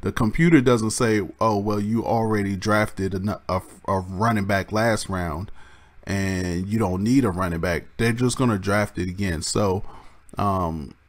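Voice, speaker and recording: A man with a slightly raspy voice, casually explaining a game mode in a rambling way, with restarts and many ums. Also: on the low side, steady tone, a little slow. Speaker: gaming YouTuber.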